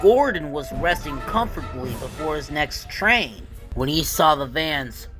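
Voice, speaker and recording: A storybook narrator tells a scripted tale in a comic dub. The voice sits at a middle pitch, with music clearly playing underneath. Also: lively intonation, measured pace.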